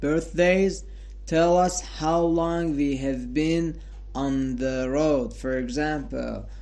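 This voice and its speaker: A man in his twenties hosting a solo talk about a quote, speaking in a halting, repetitive way with many fillers and restarts. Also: a little high, fairly even intonation, darkish tone. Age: 20s. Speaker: host of a quote podcast. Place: sitting at home in front of a mirror.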